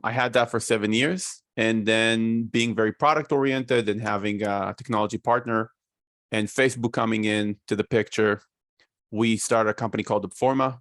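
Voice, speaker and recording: A man in his forties from Israel with an Israeli accent, a guest on a video call explaining his business in a measured way with fillers. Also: on the low side, gravelly, no echo, studio quality. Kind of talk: casual interview talk.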